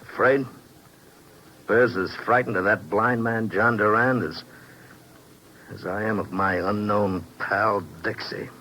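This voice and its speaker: A man's voice: on the low side, fairly even intonation, takes it slowly, pauses often, bright, forceful tone. An American actor playing a desperate, bitter, down-on-his-luck operator in a 1950s radio drama, agitated and pleading in slangy tough talk. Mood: urgent, confiding. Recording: noisy old 1950s radio recording.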